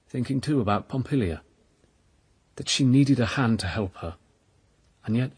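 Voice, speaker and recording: A man's voice: lowish voice, breathy edge. An actor playing a troubled, guarded young churchman, earnest and agitated, in a radio drama. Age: young adult. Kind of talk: first-person dramatic narration and dialogue.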